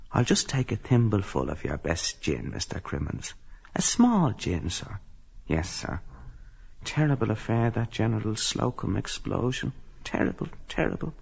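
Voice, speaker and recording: An actor playing a chatty, self-satisfied middle-aged salesman, genial and gossipy, with a husky, creaky voice in a literary audio drama. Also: mid-range voice, darkish tone, full character voice. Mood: genial, pleased. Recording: reverberant, slightly dull recording.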